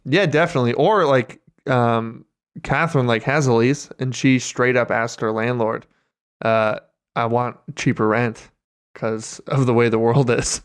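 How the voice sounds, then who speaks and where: A man's voice: mid-range voice, steady tone, a little slow, smooth. A man co-hosting a podcast, telling loose anecdotes in an informal, slangy, sweary way. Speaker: podcast co-host. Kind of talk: casual chat, loose anecdotes. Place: remote podcast call.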